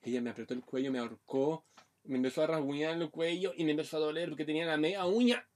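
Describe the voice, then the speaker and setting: A man from Brazil speaks with a Brazilian accent and a slightly high voice. He co-hosts a casual podcast, telling blunt, candid stories packed with local slang. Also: fast, darkish tone. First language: Portuguese. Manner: frank storytelling, heavy Chilean slang. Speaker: podcast co-host. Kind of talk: casual chat.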